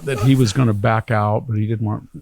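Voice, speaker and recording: A man's voice: lowish voice, steady tone, a little fast, gravelly, darkish tone, a little hiss or hum. An older man, a historian, talking casually in a podcast, wandering from one anecdote to the next. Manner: rambling, anecdotal storytelling. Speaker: historian guest.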